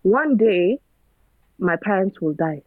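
A woman's voice: medium pitch, lively intonation, takes it slowly, no room sound. A woman speaks earnestly and drifts into preaching, piling up rhetorical questions. Her voice comes through a narrow, phone-like channel, dry and close, with no room sound. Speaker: guest. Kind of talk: casual conversation.

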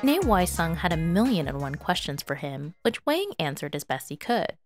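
A woman's voice: low-pitched, big pitch swings, moderate pace, faint music behind. A woman reading scripted fiction as a narrator, telling the story expressively.

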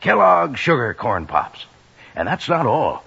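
A man delivering a folksy, enthusiastic sales pitch in 1950s radio advertisement style. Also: very animated, unhurried, creaky, sharp tone, breathy edge. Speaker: commercial pitchman. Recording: muffled old radio recording.

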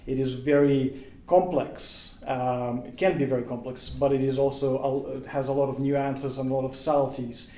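A man's voice: mid-range voice, fairly even intonation, normal speed, mellow, roomy sound, slight background noise. A man with a Russian accent, whose first language is Russian, talking thoughtfully as a podcast guest and searching for his words with frequent restarts. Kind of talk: casual podcast interview.

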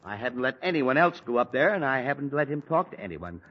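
A man's voice: medium pitch, very animated, fast talker. An actor playing an exasperated middle-aged father with a headache, in a 1940s radio sitcom, sarcastic and fussy. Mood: stern. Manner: fussy, sarcastic, flares into shouting. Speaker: father of the house. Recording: dull old radio broadcast with faint hiss.

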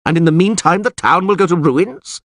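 A man's voice: sits high, moderate pace, fairly bright tone, dry recording, studio quality, pitched above the performer's own voice. A man voicing a sardonic, know-it-all bald male priest who lectures the people around him with scorn. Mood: scornful.

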